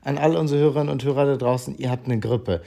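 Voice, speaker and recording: A man's voice: medium pitch, steady tone, quick pace, gravelly, warm tone. A man with a cold co-hosting a podcast in casual chat, playful with mock-announcer asides. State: has a cold. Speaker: podcast co-host.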